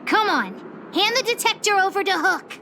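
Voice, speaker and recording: A woman's voice: very high voice, expressive, normal speed, sharp tone, soft background music, full character voice. A woman playing a small bossy but tender-hearted little girl in an English video game, speaking in a childlike way. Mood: indignant. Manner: childlike, refers to self in third person.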